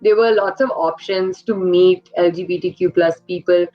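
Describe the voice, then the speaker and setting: Chatty young woman guest, lower voice. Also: fairly even intonation, moderate pace, faint music behind. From India, raised in Qatar. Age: young adult. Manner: chatty, filler-heavy, giggly. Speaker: interview guest. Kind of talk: casual personal storytelling. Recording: dull lo-fi audio.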